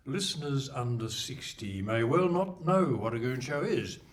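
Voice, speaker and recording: A man's voice: mid-range voice, lively intonation, unhurried, natural voice. A man playing a pompous, wry radio presenter, bantering theatrically in a live hall. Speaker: radio play host. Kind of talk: live radio play acting. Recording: live hall with faint noise.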